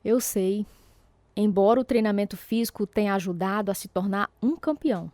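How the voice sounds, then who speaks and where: An actress with a Brazilian accent playing a boxer's devoted, supportive wife and young mother in a radio drama, gentle and sincere as she speaks and narrates. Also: medium pitch, moderate pace, no echo, natural voice. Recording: guitar music under the voice.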